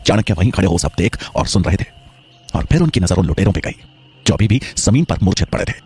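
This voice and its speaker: Animated male storyteller over music. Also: sits low, fast, husky. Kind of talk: dramatic scripted Hindi story narration.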